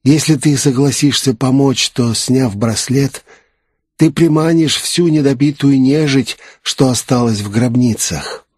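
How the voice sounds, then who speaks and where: A man's voice: mid-range voice, a little slow, darkish tone, breathy edge, dry recording, clean studio sound, voice pushed up. A man voicing an assured, composed naga necromancer who explains his schemes in an audiobook.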